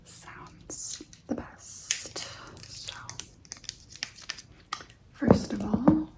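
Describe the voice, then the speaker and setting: A woman hosting ASMR in a breathy whisper, talking gently and directly to the listener and repeating phrases. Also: drawn-out words, poor audio. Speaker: ASMR host.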